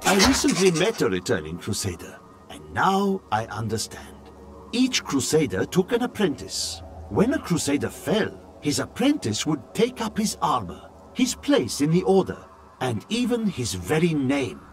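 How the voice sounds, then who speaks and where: An actor playing a learned scholar-chronicler, reading his journal as grave, intrigued, deliberate dramatic narration for a fantasy video game. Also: on the high side, huge pitch swings. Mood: reflective. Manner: theatrical, deliberate, storytelling delivery.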